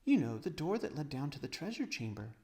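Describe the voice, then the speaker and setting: A man's voice: a little high, sing-song, fairly quick, mellow, voice pushed up. A man voicing an eager, earnest young girl in a storybook.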